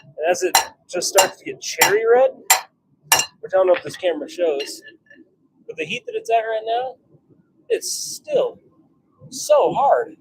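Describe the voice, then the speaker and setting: A man with a creaky, gravelly edge talks casually while he works at a forge. His intonation is lively and moves around a lot, and he muses to himself in short fragments. Loud workshop noise runs behind his voice. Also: high-pitched, a little slow, pauses often. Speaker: blacksmith hosting a workshop livestream. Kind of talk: casual commentary while working. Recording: very noisy workshop with clicks and clanks.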